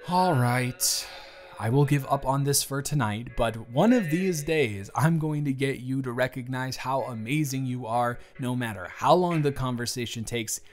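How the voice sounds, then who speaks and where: A man's voice: medium pitch, normal speed, natural voice. A man playing an earnest, encouraging young boss over music. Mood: sincere, encouraging. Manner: chatty, earnest, playfully hyperbolic. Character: warm, earnest thirty-three-year-old male office boss, fond and encouraging. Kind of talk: romantic audio roleplay, speaking to the listener. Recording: background music.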